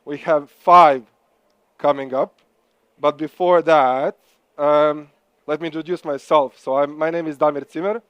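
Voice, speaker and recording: A man with a Croatian accent hosting a live startup pitching event, upbeat and encouraging. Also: steady tone, unhurried, clear, resonant tone, no room sound, highs cut off. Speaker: host.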